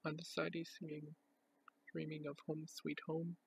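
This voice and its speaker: A man presenting items from a collection in an unscripted show-and-tell, with a plain, halting, itemising delivery. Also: on the high side, monotone, creaky, a little hiss or hum, low-quality recording.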